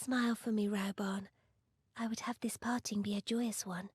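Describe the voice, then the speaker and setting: A woman's voice: medium pitch, fairly even intonation, normal speed, breathy edge, dry recording, studio quality. A woman playing a small, resolute young sultana in a fantasy game, regal and tender, with formal archaic speech. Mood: tender, sorrowful. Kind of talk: dramatic fantasy game voice acting.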